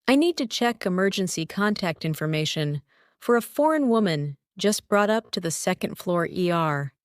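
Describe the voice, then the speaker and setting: A woman's voice: on the low side, expressive, normal speed, smooth, no room sound, clean studio sound, close to an everyday voice. Woman reading as a terse, guarded male firefighter. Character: stoic male firefighter in his prime, terse, easily flustered.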